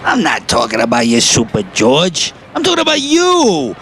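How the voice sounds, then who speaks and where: An actor playing a sarcastic, incredulous construction worker in an audio play, wisecracking and exasperated, with a New York accent. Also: male voice, on the high side, wildly swooping pitch, moderate pace, fairly bright tone, exaggerated voice. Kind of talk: scripted audio play dialogue. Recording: clear outdoor street and traffic noise.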